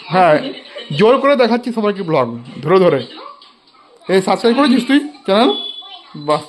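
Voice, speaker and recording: A woman's voice: mid-range voice, sing-song, moderate pace. A young woman chatting casually for a family vlog and asking questions, recorded on a handheld phone. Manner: chatty, asks a child questions. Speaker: family vlogger, mother. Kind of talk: casual home vlog chat. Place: in and around a family home. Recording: noisy handheld home recording.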